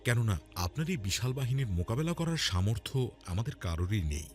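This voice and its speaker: A man's voice: mid-range voice, very animated, brisk, natural voice. Guy doing a proud devout old chief in a cartoon tale, solemn and earnest, music underneath. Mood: resolute. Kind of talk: acted quote in cartoon storytelling.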